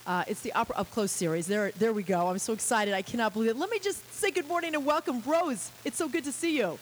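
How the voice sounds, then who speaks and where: A woman's voice: on the high side, quick pace, poor audio. Bubbly woman radio host, faint hiss. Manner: bubbly and effusive. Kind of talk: lively radio interview.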